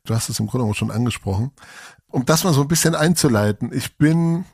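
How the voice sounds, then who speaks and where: A German man in his forties co-hosting a podcast, talking in a relaxed, reflective way with some fillers. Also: medium pitch, a little fast, creaky, slightly rough, no room sound, studio quality. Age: 40s. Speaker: podcast co-host. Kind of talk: casual podcast conversation.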